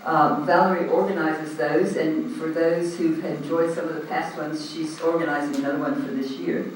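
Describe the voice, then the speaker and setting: A man with an Australian accent chairing a community group's meeting, speaking loudly and casually with many ums into a handheld microphone. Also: a little high, flat delivery, moderate pace, slight background noise. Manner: loud, informal, hesitant with frequent ums. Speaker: meeting chair standing in for the president. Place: large echoey hall. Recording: echoey hall, recorded from a distance.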